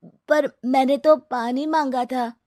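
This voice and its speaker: A woman's voice: high voice, steady tone, slow pace, darkish tone, no room sound, studio quality, pitched above the performer's own voice. A woman voicing an earnest, hardworking and grateful poor young boy in a cartoon story. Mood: surprised, grateful.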